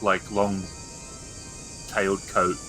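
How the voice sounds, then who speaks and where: A man's voice: low voice, flat delivery, extremely slow, pushed and bright, soft background music. A man improvising scene narration as the game master of a tabletop roleplaying game.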